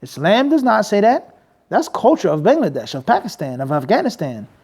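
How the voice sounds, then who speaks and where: A man's voice: sits high, huge pitch swings, brisk, a little mellow, slightly rough. A man teaching in a mosque, preaching emphatically and asking the crowd rhetorical questions. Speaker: Islamic teacher. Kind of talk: lecture with Q&A.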